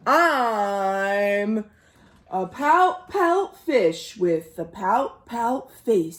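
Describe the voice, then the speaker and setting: A woman voicing a gloomy, pouting male fish in a mournful, drawn-out storybook rhyme. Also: mid-range voice, darkish tone. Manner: mournful, rhyming, drawn-out delivery.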